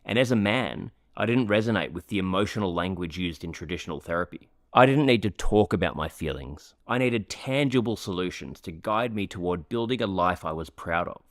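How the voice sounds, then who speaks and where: A man hosting a podcast, reading an earnest, measured introduction and then chatting with his guest. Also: low voice, animated, no room sound, studio quality. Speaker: podcast host. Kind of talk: scripted podcast intro, then casual interview.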